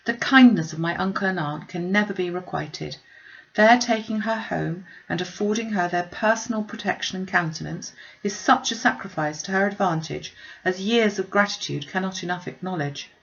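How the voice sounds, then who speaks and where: A woman voicing a frank, sceptical young gentlewoman in a classic novel, speaking firmly and with emphasis. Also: lowish voice, normal speed, creaky, lo-fi sound. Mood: indignant. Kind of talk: classic novel read aloud.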